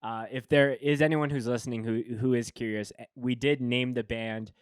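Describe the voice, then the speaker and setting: A man's voice: medium pitch, fairly even intonation, normal speed, smooth, no echo, studio quality. A man hosting a podcast, chatting casually with a guest and often restarting his sentences. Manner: rambling, many restarts and fillers. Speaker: podcast host. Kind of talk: casual chat.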